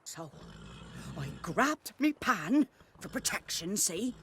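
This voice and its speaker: An actress playing a chatty, indignant old peasant granny in a fantasy video game, with a rural British accent and a rambling, folksy way of telling things. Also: female voice, mid-range voice, big pitch swings, takes it slowly, fairly bright tone, slight background noise. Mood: rambling, animated. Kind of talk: video game voice acting, storytelling.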